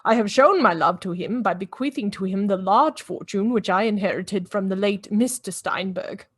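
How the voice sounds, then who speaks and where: An actress playing a judgemental, wealthy old widowed aunt in a radio play, speaking in a pompous, formal and disapproving way. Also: female voice, mid-range voice, normal speed, husky, natural voice. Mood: stern, proud.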